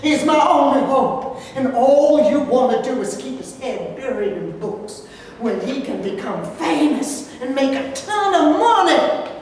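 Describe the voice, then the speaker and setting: A woman's voice: high voice, sing-song, unhurried, a little mellow. A woman reading the part of a poor, defiant mother who fights for her son in a staged play reading. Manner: combative, pleading, emotional. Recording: echoey hall from far off, faint noise.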